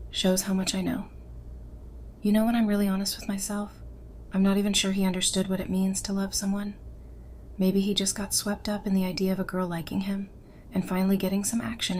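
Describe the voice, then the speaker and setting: Woman acting caring female survivor, frank, natural delivery, mid-pitched, faint background noise, audio drama. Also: a little mellow, lightly acted. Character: caring, frank female zombie-apocalypse survivor, hurt by betrayal.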